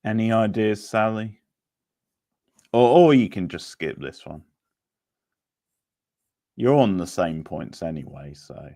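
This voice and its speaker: A man's voice: on the low side, slow pace, creaky, pauses often, mellow. A man hosting a quiz in a casual, laid-back, chatty manner. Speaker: quiz host. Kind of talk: casual live quiz chat.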